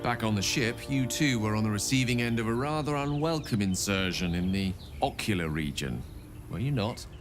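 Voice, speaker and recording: A man acts out a genial male wizard from a fantasy video game. His phrasing is ornate and courteous with a wry edge, and his pitch swings expressively. Music plays behind him. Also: animated, moderate pace, natural voice. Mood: grave, wry. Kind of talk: video game dialogue acting.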